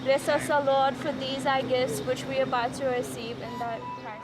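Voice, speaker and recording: A woman's voice: high-pitched, little pitch movement, normal speed, over loud music. A woman saying a prayer of grace.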